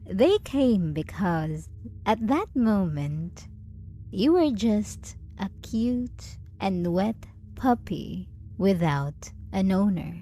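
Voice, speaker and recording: A woman playing a jealous, possessive yet doting lover in an intimate ASMR roleplay, teasing and condescending with deliberate pauses. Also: medium pitch, big pitch swings, dark tone, exaggerated voice. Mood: teasing, controlled. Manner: slow, teasing, condescending pet-name cooing with deliberate pauses.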